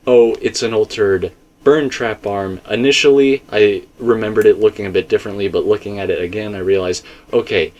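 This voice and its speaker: A man with a fairly low voice leads a casual, speculative discussion as host. He rambles in stop-and-start phrases, often circling back to correct or restate himself. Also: fairly even intonation, a little fast, darkish tone, muffled recording. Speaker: host of a game theory discussion.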